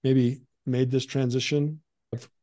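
A man co-hosting a podcast, asking a guest a question in a measured, slightly halting way. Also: medium pitch, little pitch movement, over a noisy crowd. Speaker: podcast co-host. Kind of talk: interview question.

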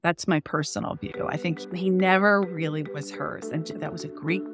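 A woman author being interviewed, explaining in a conversational, expressive, emphatic storytelling way. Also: low voice, moderate pace, music in the background.

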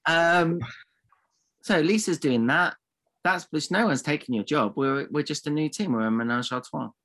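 Bright-voiced teasing man. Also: on the high side, moderate pace. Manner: teasing, mock-insulting, theatrical. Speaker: podcast co-host. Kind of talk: comic banter.

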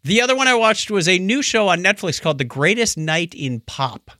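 A man's voice: high-pitched, fairly quick, no room sound, clean studio sound. A man co-hosting a podcast, chatting casually about shows and telling stories with acted-out quotes. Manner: animated storytelling with acted-out quotes. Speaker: podcast co-host.

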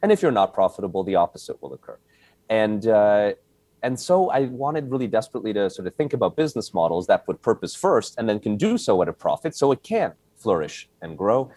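A man in his forties from Hungary, answering interview questions casually with a halting delivery full of fillers. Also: fairly low pitch, very animated, dark tone, dry recording. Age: 40s. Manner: halting, many fillers and restarts. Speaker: guest, company founder.

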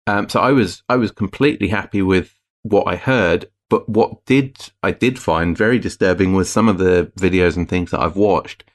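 A man's voice: deep voice, moderate pace. A man co-hosting a game podcast, rambling through firm opinions in a casual chat. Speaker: podcast co-host.

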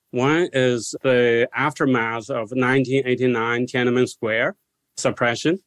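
A man in his sixties from China answers interview questions in a measured, explanatory way. His voice sits at an ordinary middle pitch. The recording is dry and close, with no room sound. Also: dry recording. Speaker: guest scholar of religion.

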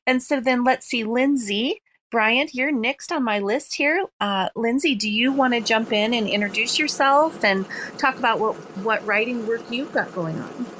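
A woman's voice: fairly high pitch, normal speed, fairly bright tone, a little hiss or hum, rough recording. A woman hosts an online audio chat and greets her guests with encouragement. She calls on each speaker in turn and invites them to introduce themselves. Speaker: host.

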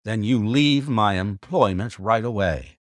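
A man's voice: fairly low pitch, a little slow, no room sound, clean studio sound, close to an everyday voice. A man doing a stern, commanding baronet.

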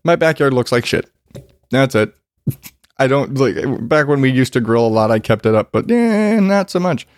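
A man's voice: medium pitch, lively intonation, normal speed, dry recording, studio quality. A man with a congested voice ranting casually about yard work, swearing freely and rambling. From Arizona, US. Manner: profane, rambling, self-deprecating. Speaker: vlogger. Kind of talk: casual rant.

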